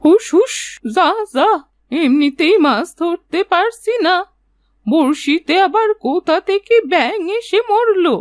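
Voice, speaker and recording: A woman's voice: very high-pitched, expressive, measured pace, no echo. A woman voicing a blunt old fishing grandmother in a cartoon fairy tale. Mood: grumbling. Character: old fishing grandmother, blunt but honest to her word. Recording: music under the voice.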